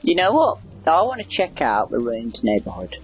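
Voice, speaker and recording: A man's voice: medium pitch, huge pitch swings, normal speed, slightly rough, faint music behind. A man giving casual, rambling video game commentary, wry and chatty as he reacts aloud. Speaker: gamer doing a video game playthrough.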